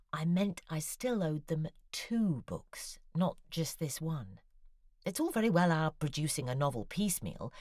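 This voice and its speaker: An actress playing a wry, self-deprecating middle-aged novelist in an audio drama, articulate and musing, with a husky, breathy voice. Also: female voice, low voice, darkish tone, no echo, studio quality. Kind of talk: audio drama acting, intimate spoken correspondence.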